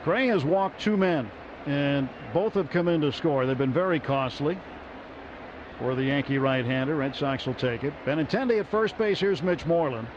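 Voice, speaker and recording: A man calling baseball play-by-play on the radio from a ballpark booth, steady and rising sharply on big plays. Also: on the high side, moderate pace. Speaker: play-by-play announcer. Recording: muffled radio broadcast with crowd noise.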